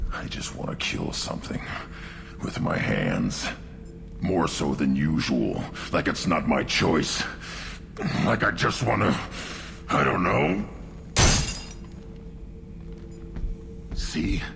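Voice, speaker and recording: A young tank-bred alien warrior for a video game. The voice is a huge, very low growl thick with gravel. He speaks slowly in short, brooding, restless bursts, full of barely held violence. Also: noisy background, heavily acted. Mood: agitated. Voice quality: gravelly, rumbling. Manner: terse, halting, brooding phrases. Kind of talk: video game cutscene acting. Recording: music behind the voice.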